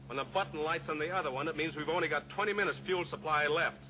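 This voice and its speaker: An actor playing a steely heroic cowboy pilot in an old-time radio drama, measured, taunting and authoritative. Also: male voice, a little high, close to an everyday voice. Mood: grim, pressing. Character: steely heroic cowboy pilot, coolly pressuring a captive killer. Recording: noisy old radio recording, engine drone.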